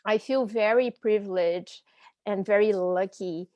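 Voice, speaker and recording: A woman's voice: medium pitch, measured pace, mellow, no echo, muffled recording. A woman from Brazil with a Brazilian Portuguese accent, speaking as a panelist and language teacher on a video call, reflective and searching for her words.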